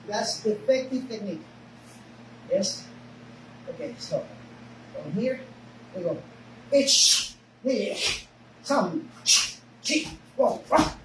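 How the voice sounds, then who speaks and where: A woman with a Spanish accent, a native Spanish speaker, teaching a karate class in short broken phrases and often checking in with "okay?". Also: a little high. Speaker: karate instructor. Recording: echoey room, mic far off, noisy.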